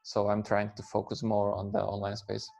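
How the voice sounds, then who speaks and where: A man in his thirties from Slovakia, an English speaker, talking as an interview guest in a halting way with many fillers and restarts. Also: on the low side, monotone, gravelly, a little mellow, slight background noise. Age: 30s. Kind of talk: conversational interview.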